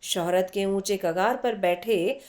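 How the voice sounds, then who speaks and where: A woman reading a literary story aloud in an expressive, unhurried manner with dramatic pauses. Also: medium pitch. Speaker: story reader.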